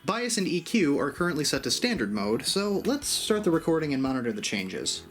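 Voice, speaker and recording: A man's voice: on the high side, very animated, fairly quick, a bit bright, soft background music. A man narrating a casual, step-by-step tutorial in a conversational, mildly self-deprecating way. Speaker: host of an audio gear channel.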